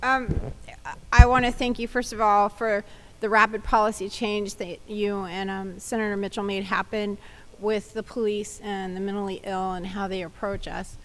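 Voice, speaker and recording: A woman's voice: mid-range voice, flat delivery, normal speed, a bit bright, low-quality recording. A woman community advocate giving plain personal testimony at a public hearing, telling her story in the first person. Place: government hearing room.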